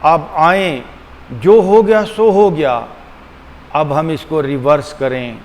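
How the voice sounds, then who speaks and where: Slow-paced man guiding meditation in reverberant space. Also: fairly high pitch. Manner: deliberate, repetitive, with frequent pauses; switches between Hindi-Urdu and English. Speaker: yoga and meditation teacher. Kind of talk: guided meditation, calm instruction. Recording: long hall reverb, faint background hum.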